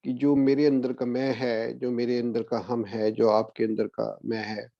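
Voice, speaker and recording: A man guiding a meditation as a spiritual discourse, speaking in a measured way with long pauses. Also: medium pitch, flat delivery, creaky, no room sound. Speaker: meditation guide. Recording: dull, lo-fi, dry audio.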